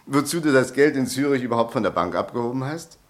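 An actor playing a gruff, wealthy friend who is blunt but generous, speaking briskly. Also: male voice, mid-range voice, animated, lightly acted.

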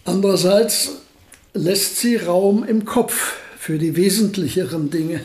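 A modest, understated old physicist with a dry, ironic wit in a radio drama. His voice sits somewhat high for a man, and his remarks come out calm and deadpan. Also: slow. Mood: wry. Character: wry, modest elderly male physicist visitor. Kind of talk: radio play acting.

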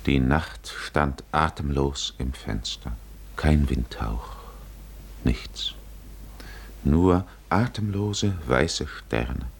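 An actor playing a grave, solemn narrator in a 1950s radio drama, hushed, husky and halting. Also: male voice, rumbling low, animated, exaggerated voice. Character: grave, hushed male narrator of a night scene.

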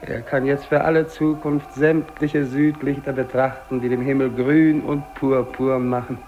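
An actor playing a dying, weary English polar explorer, speaking slow, declamatory monologue with long pauses in a 1950s German radio drama. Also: male voice, medium pitch, level tone, narrow, tinny audio, lightly acted. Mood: recalling, earnest. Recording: noisy old radio drama recording.

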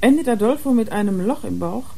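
A woman's voice: fairly high pitch, animated, a little fast, vocal fry, warm tone. A woman acting a worn, defiant young drug user who confides in a German radio crime drama. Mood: confiding, upset.

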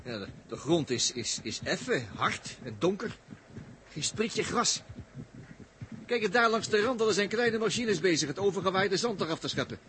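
Wry jittery astronaut, noisy recording. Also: male voice, high-pitched, very animated, moderate pace, fairly bright tone. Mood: wry, puzzled. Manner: colloquial, hesitant, stammering repetitions, sardonic. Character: wry, jittery male astronaut taken prisoner. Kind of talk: 1960s Dutch radio play acting. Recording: noisy old radio recording.

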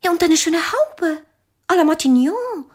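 A woman's voice: very high voice, sing-song, a little slow, heavily acted. A woman acting a fussy, fashion-minded mother in a German radio drama, chiding and affected.

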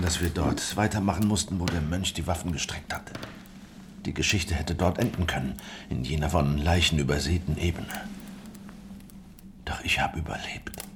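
An actor playing a guarded, world-weary fugitive rebel veteran in a German historical radio drama, grave and terse in manner. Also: male voice, extremely low pitch, background noise.

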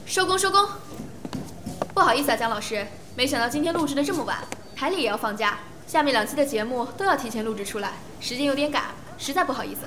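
A woman's voice: high-pitched, expressive, quick pace. A woman playing a courteous, bustling radio station staffer in an audio drama. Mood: apologetic. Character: brisk, apologetic female radio station staffer. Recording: clearly noisy background ambience.

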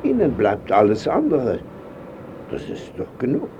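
An actor playing a frail, wry old painter in a radio drama, speaking tersely and reflectively as someone wistful and close to death. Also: male voice, medium pitch, wildly swooping pitch, measured pace, muffled recording, noisy background. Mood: calm, wry. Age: older.

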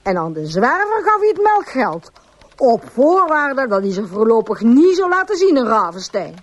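High-voiced, distraught farmer's wife. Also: female voice, very animated, moderate pace. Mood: distressed, confessing. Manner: earnest, emotional storytelling. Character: distraught, pleading farmer's wife confessing all. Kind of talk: radio drama acting.

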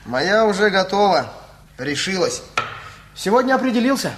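High-voiced, wry young lad. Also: male voice, big pitch swings, normal speed, fairly bright tone, slight background noise. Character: wry, easygoing young male school leaver playing chess. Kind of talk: radio play acting.

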